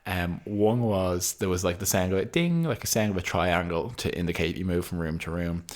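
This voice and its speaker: Deep-voiced man, dry and teasing. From Ireland. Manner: dry, teasing, drawn-out fillers. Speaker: podcast co-host. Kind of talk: casual chat.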